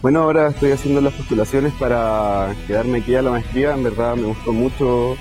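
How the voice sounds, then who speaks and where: A man's voice: medium pitch, a little fast, darkish tone, faint music behind. A man from Chile with a Chilean accent, a student giving an interview testimonial with frequent fillers. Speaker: graduate student.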